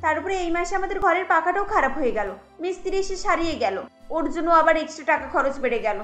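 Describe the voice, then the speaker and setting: A woman's voice: very high-pitched, expressive, fast, fairly bright tone, faint music behind. A woman voicing a harried, irritable housewife and mother in a cartoon, complaining and scolding. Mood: exasperated complaining. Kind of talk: cartoon voice acting.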